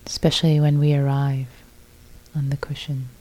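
A woman teaching meditation in a calm, gentle, soft-spoken way, guiding listeners with long pauses between short phrases. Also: extremely low pitch, rough recording.

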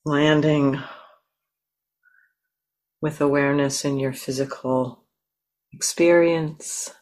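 A woman teacher leading a guided meditation in a gentle, unhurried way with long pauses between phrases. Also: deep voice, steady tone, low-quality recording.

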